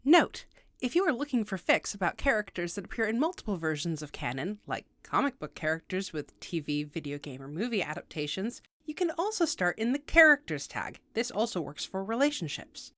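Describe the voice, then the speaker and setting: A woman's voice: medium pitch, sing-song, brisk, fairly bright tone, no room sound, studio quality. A woman hosting a video tutorial, reading scripted explainer narration in a precise, instructional way with wry asides.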